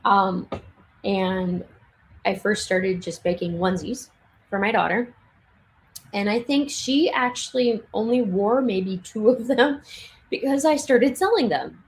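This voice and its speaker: A young woman chatting casually on a livestream from her home craft room, laughing often and restarting sentences, her voice getting hoarse. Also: mid-range voice, measured pace, a little hiss or hum, low-quality recording. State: losing her voice. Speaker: livestream speaker.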